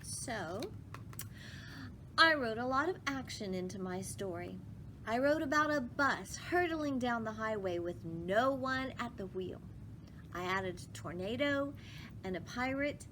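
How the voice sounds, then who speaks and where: A woman reading a children's story aloud with emphatic phrasing, pausing to put questions to listeners. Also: animated, pushed and bright. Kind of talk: expressive children's read-aloud with asides to listeners. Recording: clearly noisy with low rumble.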